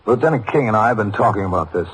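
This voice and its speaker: Husky, stern police captain. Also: male voice, fairly low pitch, animated, poor audio. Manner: firm, deliberate questioning. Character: stern, measured male police precinct captain. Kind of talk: old-time radio drama acting and narration. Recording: vintage radio drama recording.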